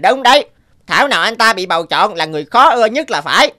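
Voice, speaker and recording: Indignant bright-voiced cartoon bird. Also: female voice, mid-range voice, animated, quick pace. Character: small aggrieved bird, indignant and boastful. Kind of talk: animated fairy-tale acting.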